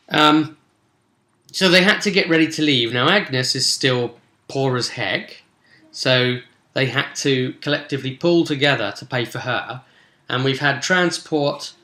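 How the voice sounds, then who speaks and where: A man hosts an informal recap of his tabletop role-playing game, speaking as the game master. His voice is bright and forward, with a chatty storyteller's delivery. The recording sounds roomy, with noticeable echo. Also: mid-range voice. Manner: chatty, storytelling, acting out brief bits of dialogue. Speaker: game master and vidcast host.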